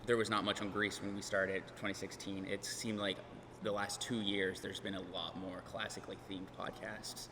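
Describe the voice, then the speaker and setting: A man's voice: low voice, flat delivery, normal speed. A man and podcast host speaking casually on a conference panel, chatty and self-deprecating. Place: large conference hall. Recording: hall reverb, faint room noise.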